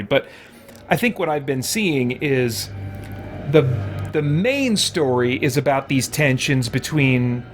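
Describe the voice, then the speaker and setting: A man giving casual, halting gameplay commentary as a let's play host, thinking aloud as he goes. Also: medium pitch, slight background noise.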